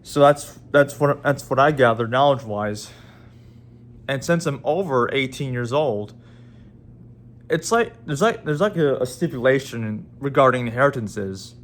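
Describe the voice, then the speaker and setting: Halting young man vlogging. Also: mid-range voice, expressive. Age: 20s. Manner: halting, many restarts and repeated words. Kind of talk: casual vlog talk to viewers.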